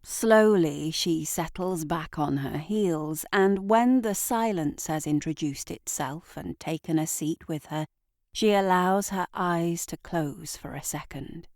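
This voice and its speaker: A woman's voice: on the low side, a little mellow, no room sound. Woman narrating drama, dry close sound. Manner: deliberate, dramatic storytelling. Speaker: writer and narrator of an audio drama. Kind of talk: scripted literary narration.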